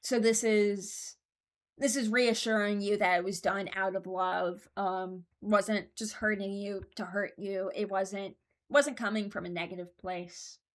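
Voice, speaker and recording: A woman's voice: mid-range voice, fairly even intonation, unhurried. Casual woman commentator thinking aloud. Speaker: host ranking game voice lines.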